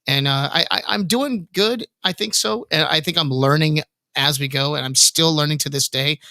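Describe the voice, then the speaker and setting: A man's voice: normal speed, vocal fry, bright, forceful tone, no room sound. A man with a tenor voice hosting a podcast, chatting informally and repeating phrases for emphasis. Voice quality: self-described high tenor voice. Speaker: podcast host. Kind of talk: casual podcast chat about own experiences.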